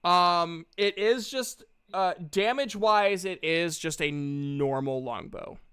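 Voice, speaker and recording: Male game master, high and clear, playful and sweary, casual tabletop storytelling, close dry sound. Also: slow pace, bright, forceful tone, no room sound.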